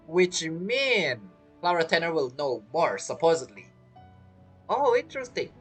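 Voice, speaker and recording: A woman's voice: low voice, very animated, unhurried, husky, soft background music. A woman giving chatty, casual commentary while playing a game, with mumbled asides. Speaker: game streamer. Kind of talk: casual let's-play commentary.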